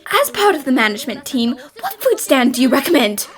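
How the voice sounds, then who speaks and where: A woman's voice: sits high, brisk, faint music behind. A woman voicing a perky, cheeky young female detective in an anime fan dub, confident and theatrical. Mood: politely curious. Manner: animated, theatrical.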